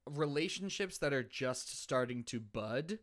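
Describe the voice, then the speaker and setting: Lively-pitched man in playful banter. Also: measured pace. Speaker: podcast co-host. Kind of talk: casual comedic banter.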